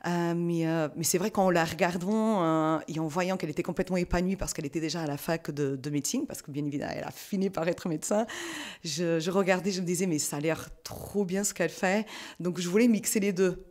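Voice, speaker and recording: Middle-aged woman guest, chatty, rambling, quick to laugh. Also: on the low side, darkish tone. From France. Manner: chatty, many fillers and restarts, laughs easily. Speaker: guest, physiotherapist. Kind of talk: casual interview conversation.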